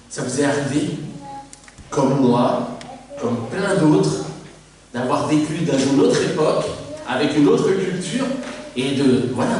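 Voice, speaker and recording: A man from Mauritius preaching a sermon as a pastor, lively, repeating phrases for emphasis and putting rhetorical questions to the congregation. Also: fairly high pitch, slight background noise. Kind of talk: sermon on family life. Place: church. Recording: echoey church hall from far off.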